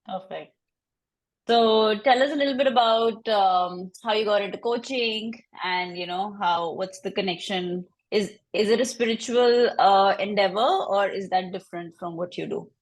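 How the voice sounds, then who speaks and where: Casual woman podcast host, medium pitch. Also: normal speed. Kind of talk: casual interview.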